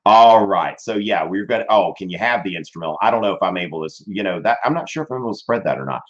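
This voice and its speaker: A man's voice: low voice, animated, fast talker, muffled recording. A man in his thirties teaching a livestreamed history class from a small room, his voice strained, rambling with fillers and checking in with "okay?". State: voice strained from streaming. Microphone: webcam at desk.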